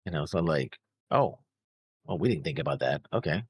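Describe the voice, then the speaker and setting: A man co-hosting a reaction show, chatting casually in a halting, rambling way. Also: very deep voice, lively intonation, breathy edge, no room sound, studio quality. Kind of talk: casual chat.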